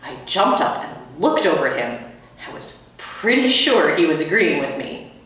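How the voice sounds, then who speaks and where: Low-voiced woman reading a story aloud. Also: sing-song, measured pace, fairly bright tone. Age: middle-aged. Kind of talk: children's story read-aloud. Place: small indoor school room. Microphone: camera a few metres away. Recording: echoey room, distant microphone.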